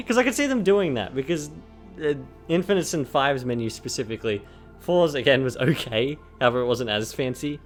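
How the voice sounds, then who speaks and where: A young man co-hosting a casual gaming podcast, giggling and rambling with lots of fillers while his voice is going hoarse. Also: medium pitch, expressive, normal speed, faint music behind. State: losing his voice. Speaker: podcast co-host. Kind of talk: casual chat.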